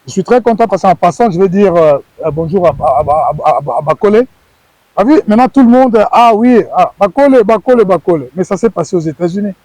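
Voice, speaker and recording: Middle-aged guy from congo talking wrestling on tv, earnest, stumbles and repeats himself, kinda high voice. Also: animated, fairly quick, darkish tone, dry recording, rough recording. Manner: earnest, repeats words while searching for phrasing. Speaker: former wrestler, guest. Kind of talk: TV sports interview answers.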